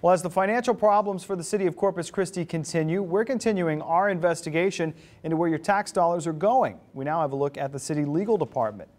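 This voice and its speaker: A man's voice: fairly high pitch, a little mellow. A man reading scripted news as a television anchor. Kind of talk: scripted newscast delivery.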